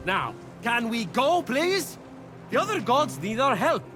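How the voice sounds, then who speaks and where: A high, swooping male voice over music, playing a flippant trickster god in a video game. He is glib and wry, always ready with a playful joke. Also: big pitch swings, unhurried, sharp tone, exaggerated voice. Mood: flippant, teasing. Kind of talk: animated video game character acting.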